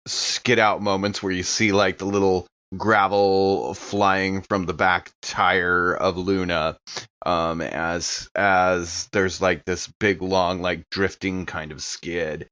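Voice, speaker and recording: Male tabletop player, low and unhurried, halting casual narration, dry muffled recording. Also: a bit bright, dry recording. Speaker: player in an actual-play podcast. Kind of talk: casual improvised roleplay narration.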